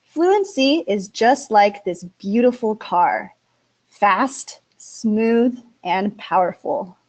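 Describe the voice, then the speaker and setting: A woman's voice: mid-range voice, animated, extremely slow. Upbeat woman presenting a webinar. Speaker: ESL instructor.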